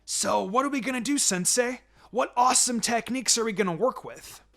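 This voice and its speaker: A man voicing an excitable, impatient young ninja boy in a story, loud, eager and blurting. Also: extremely high pitch, a little fast, vocal fry, pitched above the performer's own voice, full character voice. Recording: music under the voice.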